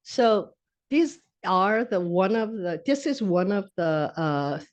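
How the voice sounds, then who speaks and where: A middle-aged woman with a Korean accent presents a research talk at a medical lecture. Her delivery is steady and explanatory, plain-spoken, with occasional self-corrections as she works through points. Her pitch sits in the middle range for a woman. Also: takes it slowly. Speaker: physician researcher presenting at grand rounds. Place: lecture hall.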